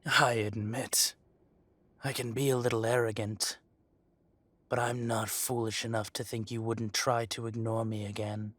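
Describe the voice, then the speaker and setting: A man playing an arrogant dragon mafia boss in an audio roleplay, speaking deliberately with mock politeness and quiet threat. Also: lowish voice, little pitch movement, no echo. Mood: coolly menacing. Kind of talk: scripted roleplay audio drama monologue.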